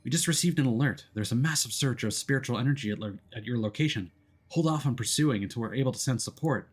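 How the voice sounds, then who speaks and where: A man's voice: medium pitch, quick pace, breathy edge, natural voice. A man voicing a concerned but firm woman teammate in a visual novel, earnest and reproachful. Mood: worried. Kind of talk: visual novel character reading.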